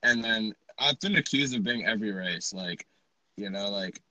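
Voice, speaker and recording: A man's voice: fairly low pitch, normal speed, bright, forceful tone, dry recording, low-quality recording. A young man from Texas hosting a casual podcast chat, playful and joking, laughing often. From Dallas, Texas. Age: young adult. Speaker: podcast host.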